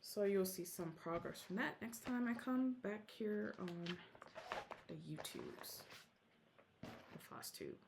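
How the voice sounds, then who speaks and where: A woman's voice: mid-range voice, expressive, slow, pauses often, noisy background. A woman whose first language is Korean, chatting at home about her stitching projects in a rambling, self-interrupting way. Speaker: Flosstube vlogger. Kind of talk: casual show-and-tell vlog.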